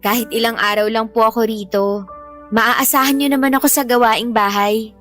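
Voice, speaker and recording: A timid teenage girl acted by a woman in a radio drama, with a high voice. She speaks politely and hesitantly, pleading and eager to please, over faint music. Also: fairly even intonation, moderate pace, fairly bright tone, natural voice. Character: timid, eager-to-please eighteen-year-old daughter seeking her mother.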